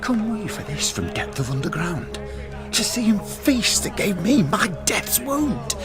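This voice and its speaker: Bitter ghost of a murdered nobleman, slow swooping lament, music underneath. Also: high voice, big pitch swings. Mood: bitter, sorrowful. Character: Andrea, bitter sorrowing ghost of slain nobleman. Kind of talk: classical radio drama verse acting.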